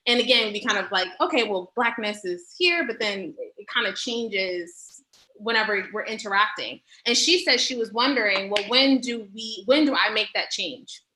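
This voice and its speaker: A woman's voice: mid-range voice, normal speed, sharp tone, reverberant, a little hiss or hum, low-quality recording. A woman on a discussion panel, speaking reflectively and often repeating words as she searches for the next thought. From United States.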